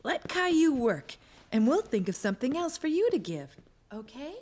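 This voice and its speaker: A woman voicing a patient, gentle mother in a preschool cartoon, speaking encouragingly as to small children. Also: sits high, very animated, moderate pace. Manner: gentle, encouraging, sing-song toward children.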